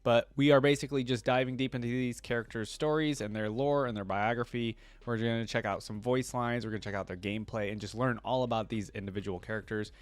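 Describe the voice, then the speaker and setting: A man's voice: mid-range voice, fairly even intonation, fast talker, hardly any pauses, no echo. A man hosting a reaction video in a casual style, reading lore aloud and adding conversational asides of his own. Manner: conversational asides on what he reads.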